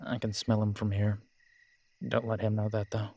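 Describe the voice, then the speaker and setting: A man's voice: on the low side, monotone, moderate pace, mellow. Man playing an easygoing, teasing werewolf biker. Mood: relaxed, wry. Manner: casual, informal, joking. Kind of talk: scripted audio roleplay drama.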